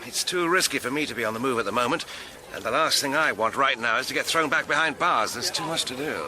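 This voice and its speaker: A man's voice: medium pitch, fast, crowd noise, close to an everyday voice. A man playing a breezy, slangy adventurer on the run in a 1930s-style detective radio drama, with a wry manner. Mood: wry, jaunty. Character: breezy fast-talking male adventurer on the run.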